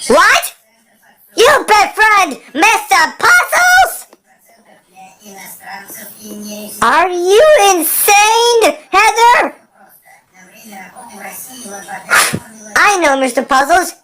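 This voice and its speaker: An excitable, lovelorn fish creature from a cartoon, with a high voice that swoops widely. The delivery is slow and theatrical, halting mid-phrase, full of gushing drama and self-pity. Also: female voice, exaggerated voice. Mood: outraged. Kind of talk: character voice clips for a fan cartoon.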